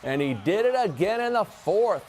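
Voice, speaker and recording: A man with an American accent reading a scripted sportscast over highlights in a punchy, playful delivery. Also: very animated, moderate pace, a little mellow. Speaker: TV sports anchor.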